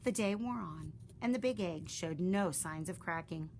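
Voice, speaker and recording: A woman reading a children's story aloud with slow, drawn-out, expressive phrasing. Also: fairly low pitch, a little hiss or hum.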